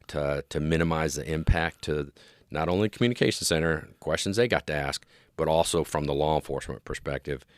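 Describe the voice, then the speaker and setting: Middle-aged American male interviewee, extremely low voice, earnest expert explainer, close dry recording. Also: dry recording. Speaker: interview guest, police dispatch specialist and former officer.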